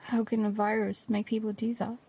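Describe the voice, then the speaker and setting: An actress playing an earnest, frustrated daughter in an audio drama, wanting to be included. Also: female voice, mid-range voice, level tone, a little fast, creaky, mellow, a little hiss or hum, close to an everyday voice. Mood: haunted, fearful.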